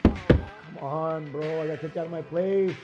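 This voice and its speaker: An actor playing a whiny, needy, put-upon young freeloader in an audio comedy, slangy and complaining. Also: male voice, a little high, steady tone, unhurried, highs cut off, over loud music, lightly acted. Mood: desperate, pleading. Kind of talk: scripted audio comedy acting.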